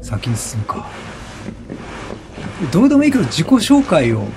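A man giving excited game commentary, exclaiming and repeating words rapidly as he reacts. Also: a little high, noisy background. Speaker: let's play gamer. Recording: game music behind the voice. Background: video game music.

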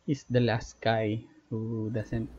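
A man's voice: lowish voice, completely flat delivery, slow, darkish tone, highs cut off, a little hiss or hum. A man giving casual gameplay commentary as a streamer, in hesitant fragments. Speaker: gaming streamer. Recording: game music behind voice. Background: game music and sounds.

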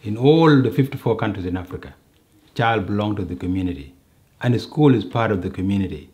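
A middle-aged man giving a measured, explanatory interview, seated indoors and working through examples. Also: lowish voice, expressive, warm tone. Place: indoor sitting room.

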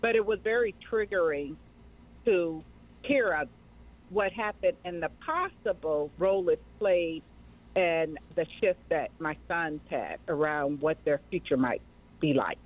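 A woman's voice: low voice, unhurried. A middle-aged woman with an American accent, talking conversationally as an interview guest. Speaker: guest, mental health nonprofit director. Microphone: remote call. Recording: narrow tinny radio-like call audio.

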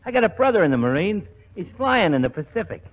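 A brisk hotel desk clerk with a quick wisecrack, in old radio comedy style. His voice sits fairly high, and the 1940s recording is dull with a long reverb. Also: sing-song.

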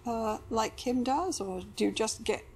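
A middle-aged woman acting as therapist, gently guiding a conversation with probing questions and restatements. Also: on the high side, lively intonation, measured pace, a little hiss or hum. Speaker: therapist interviewer. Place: small indoor TV studio living-room set.

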